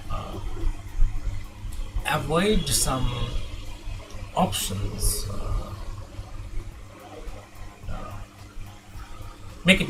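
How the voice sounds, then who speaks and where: Slow-talking man over loud background noise. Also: medium pitch. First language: not English. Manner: halting, thinking aloud, repeating words. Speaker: web design tutorial instructor. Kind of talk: unscripted screen-share software tutorial.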